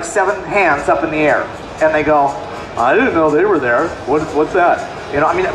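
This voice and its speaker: A middle-aged man, a software product specialist, giving a chatty, self-deprecating demo clinic at a trade show on a handheld mic. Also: high voice, background noise. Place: large convention hall. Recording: echoey hall with music behind.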